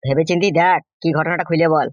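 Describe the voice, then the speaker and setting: Man voicing a quick, nosy cartoon village uncle. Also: on the high side, fairly even intonation, dry recording, lightly acted. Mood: questioning. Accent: rural West Bengal. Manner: questioning, impatient, colloquial. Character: nosy, exasperated village uncle, goading and correcting. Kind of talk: comic cartoon dialogue. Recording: dull lo-fi sound.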